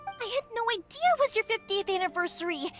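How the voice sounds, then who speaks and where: An actress playing a cheerful young fireworks maker in a video game, bubbly and eager. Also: female voice, extremely high pitch, expressive, fast talker, over music. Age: young adult. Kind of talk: game voice acting.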